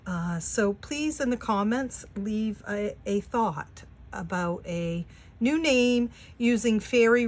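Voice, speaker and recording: A woman in her sixties with a New England accent, chatting casually to camera at home with many fillers. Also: medium pitch. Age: 60s. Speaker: vlogger and Etsy seller. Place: home, at a wooden table.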